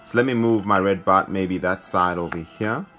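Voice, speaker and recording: A man's voice: low voice, moderate pace, pushed and bright, faint music behind. A man hosting a gaming video, giving casual commentary as he plays. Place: indoor room, filming a handheld phone.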